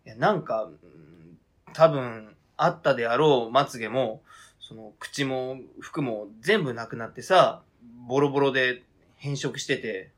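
A man acting a hesitant, flustered partner in an amateur radio drama, protesting in a halting, conversational way. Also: mid-range voice, a bit bright. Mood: reluctant objection.

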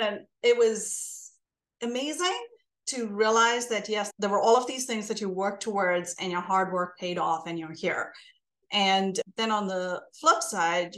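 A woman's voice: medium pitch, fairly bright tone, rough recording. Woman in her 40s, Indian-American blended accent, thoughtful interview guest recounting her journey. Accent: mixed Indian and American. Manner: thoughtful, measured storytelling. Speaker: podcast guest. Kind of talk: reflective interview talk.

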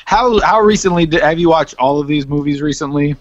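A man's voice: on the high side, fairly quick, lo-fi sound. Man chatting casually, emphatic and comedic. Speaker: podcast co-host. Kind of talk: casual comedic movie chat.

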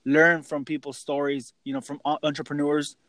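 Casting: male interviewer around thirty, slightly high voice, chatty and informal, lots of "you know", very dry recording. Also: little pitch movement, moderate pace, a bit bright, no room sound. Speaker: podcast host. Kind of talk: casual conversational interview.

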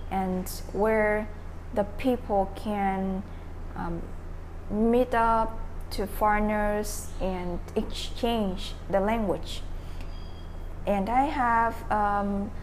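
A young woman with a Vietnamese accent talks through her answers at an unhurried, deliberate pace. She hunts for words, repeats herself and fills gaps with "um" and "like". A faint hum of room ambience sits behind her mid-pitched voice. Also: steady tone, poor audio. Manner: hesitant, searching for words, many fillers and restarts. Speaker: interviewee, English learner. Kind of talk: casual interview answers.

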